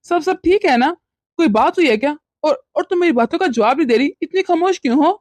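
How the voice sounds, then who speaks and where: A mild, bewildered young husband and devoted son in a domestic melodrama, played by a woman. The voice is high, with flustered questions and repeated words. It is recorded very dry. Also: expressive, fairly quick, a little mellow, no echo. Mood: puzzled, coaxing. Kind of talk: melodramatic domestic story acting.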